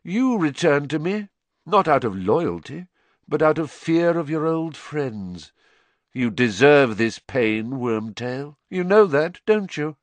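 A cold, cruel dark lord, as a man puts him on, speaking softly with measured, lazy menace. His voice sits a little raised and hushed, rising and falling a lot across each line, in a dry storybook reading. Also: a little high, big pitch swings, dry recording, voice pushed up.

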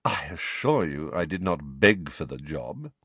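A man reading an audiobook and voicing a cold, sneering male wizard teacher who speaks silkily and softly. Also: extremely low pitch, sing-song, a little slow, no echo, pitched below the performer's own voice, exaggerated voice. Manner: silky, sneering, soft-spoken.

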